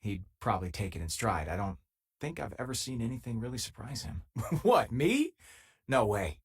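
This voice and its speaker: A man playing a loyal, easygoing former miner and spaceship crewmate in a video game, with a husky, casual, wry delivery. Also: medium pitch, big pitch swings, moderate pace. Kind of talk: video game companion dialogue.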